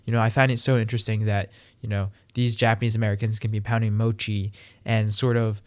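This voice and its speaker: A man's voice: on the low side, flat delivery, fast talker, dry recording. A young man hosting a podcast, opening the show and asking curious, friendly questions. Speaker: podcast host. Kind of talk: podcast intro and interview questions.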